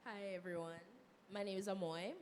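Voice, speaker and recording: A hoarse 21-year-old woman student speaking frankly and bluntly on a live panel. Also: mid-range voice, steady tone, normal speed, darkish tone. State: hoarse, a bit sick. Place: event hall, live audience. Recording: hall reverb with faint noise.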